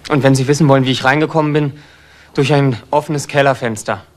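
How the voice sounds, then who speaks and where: An actor playing a nervous young homeless burglar in a crime drama, speaking in an agitated, halting, defensive way. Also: male voice, medium pitch, fairly even intonation, close to an everyday voice. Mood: nervous, defiant. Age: young adult. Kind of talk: German TV crime drama acting.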